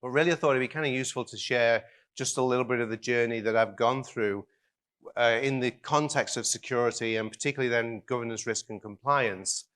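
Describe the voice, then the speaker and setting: A man with an Irish-tinged Liverpool accent giving a conference keynote in a relaxed, joking way, with lots of ums and restarts. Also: medium pitch. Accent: Irish-tinged Liverpool English. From England, raised near Liverpool in an Irish family. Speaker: keynote speaker. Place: conference stage.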